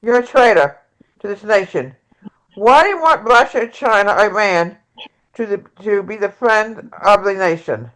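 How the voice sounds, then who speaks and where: A woman playing a progressive, combative presidential candidate in an amateur table read, reading haltingly with stumbles. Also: medium pitch, animated, fairly bright tone, low-quality recording. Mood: accusing.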